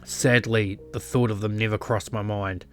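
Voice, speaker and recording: Man acting a low-voiced brooding ex-clan leader. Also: steady tone, normal speed, fairly bright tone, faint music behind, natural voice. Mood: regretful, brooding. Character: brooding, regretful male former clan leader with a violent past. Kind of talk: visual novel voice acting.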